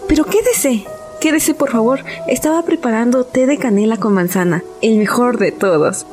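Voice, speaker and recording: An unhinged murderess narrates her own crime in a radio play, voiced by a woman, her tone brooding and repetitive. She draws out her words slowly and dramatically, and she sits a touch high for a woman. Clear music plays under her throughout. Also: animated, lightly acted. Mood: cold scheming. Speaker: narrator and protagonist. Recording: voice over loud music.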